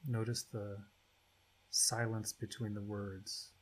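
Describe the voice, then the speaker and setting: A man leading a guided meditation as its guide, speaking in short, spacious phrases with long pauses between them. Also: on the low side, little pitch movement, poor audio.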